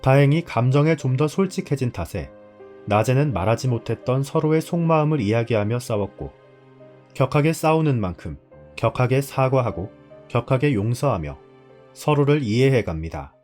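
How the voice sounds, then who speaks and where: A man's voice: mid-range voice, lively intonation, normal speed, darkish tone. A man narrating a drama's story in a scripted storytelling style. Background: background music.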